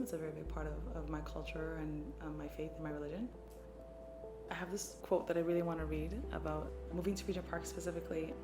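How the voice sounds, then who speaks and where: A woman with a low, fairly even voice shares personal stories in a reflective, earnest way. She sounds like a community worker being interviewed. The room is echoey and loud music plays underneath her. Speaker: community mental health worker.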